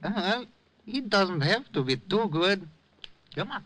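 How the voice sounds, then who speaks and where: A man's voice: high-pitched, very animated, slow, very noisy, full character voice. An actor playing a philosophical old man who claims to be dead, speaking with formal, old-world courtesy in a 1950s radio drama. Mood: insistent. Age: older.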